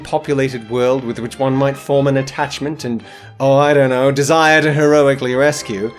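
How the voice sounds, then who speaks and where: Male narrator for a scripted video essay: expressive, widely swinging delivery, theatrical sarcasm, faint music underneath. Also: a little high. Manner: theatrical, sardonic emphasis.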